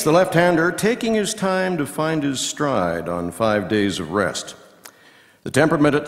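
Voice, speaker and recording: A man performing as a folksy baseball radio commentator, calling play-by-play in measured, punchy phrases with dramatic pauses. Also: mid-range voice. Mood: steady, folksy. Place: live reading in a large reverberant hall. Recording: echoey hall with long reverb.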